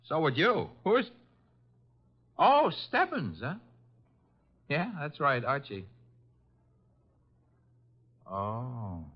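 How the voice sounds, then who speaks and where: A wisecracking American detective's assistant from a vintage radio drama. His delivery is breezy and sardonic, with pitch that swings freely. The sound is slightly muffled and lo-fi. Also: big pitch swings, very slow, pauses often, husky, exaggerated voice. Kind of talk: 1950s radio drama acting. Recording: dull vintage radio recording.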